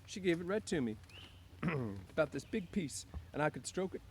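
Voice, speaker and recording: A man's voice: fairly high pitch, sing-song, normal speed, slight background noise, pitched above the performer's own voice. A man voicing an earnest, simple-minded, childlike big man with plain rural speech in an audiobook. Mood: delighted. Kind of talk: audiobook character voice.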